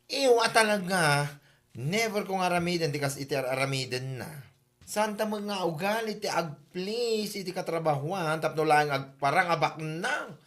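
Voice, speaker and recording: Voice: medium pitch, animated, normal speed, a bit bright, lightly acted. An actor playing an envious, gossiping coworker in a comedy drama, drawling and exclaiming with an Ilocano Filipino accent. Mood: judgmental gossip.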